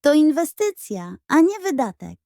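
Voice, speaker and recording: Woman doing a cocky dramatic kid brother for a bedtime story, high pitched, kinda soft-edged. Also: big pitch swings, measured pace, no echo, clean studio sound, heavily acted. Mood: self-important. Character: Piotrek, boastful, determined young boy, an older-acting brother.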